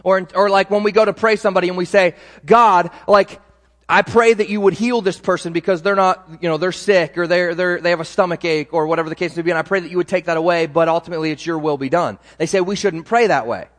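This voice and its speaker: A man's voice: high-pitched, fast talker, a bit bright, big-room sound. A man preaching a sermon as pastor, talking in a conversational way with frequent restarts and asides.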